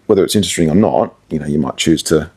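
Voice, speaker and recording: A man's voice: extremely low pitch, very fast, darkish tone, slightly rough. A middle-aged man, a radio presenter, giving casual, conversational advice in an interview.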